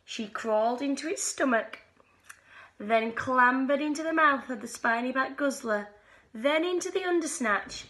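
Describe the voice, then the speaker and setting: High, very animated woman storyteller. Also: rough recording. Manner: animated, dramatic pauses and drawn-out key words. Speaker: storyteller reading a bedtime picture book for schoolchildren. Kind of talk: expressive children's story reading. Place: small living room at home, indoors.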